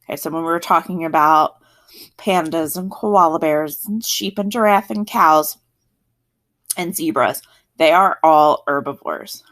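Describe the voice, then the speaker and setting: A woman's voice: deep voice, unhurried, a bit bright. A woman teacher with a South Jersey accent giving a recorded science lesson, explaining in a friendly, chatty way and correcting herself as she goes.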